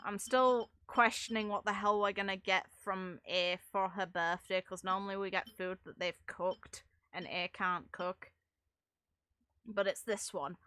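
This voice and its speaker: A woman's voice: mid-range voice, fairly even intonation, a little slow, sharp tone, clean tone. A young adult woman giving casual, rambling game commentary in an informal, amused way, as a streamer.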